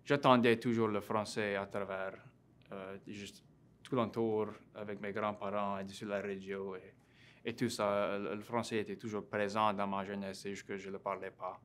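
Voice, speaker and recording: A young man in his twenties with a Cajun French accent, talking as an interview guest, halting and full of fillers and restarts. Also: fairly low pitch, level tone, a bit bright, slight background noise. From Louisiana. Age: 20s. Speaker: interview guest, graduate student. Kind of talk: casual interview conversation.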